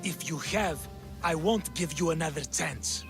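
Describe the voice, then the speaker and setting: An actor playing a cold, threatening villain in a video game. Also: male voice, a little high, a little slow, gravelly, music in the background. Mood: menacing. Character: cold, menacing villain holding a captive. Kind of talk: video game cutscene acting.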